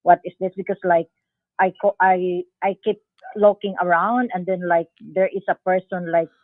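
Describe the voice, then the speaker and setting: A woman calling in to a live show, speaking haltingly and politely in non-native English, on a phone line. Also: lowish voice, steady tone, no echo. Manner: polite, deferential, thankful. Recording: tinny, narrow phone-line sound.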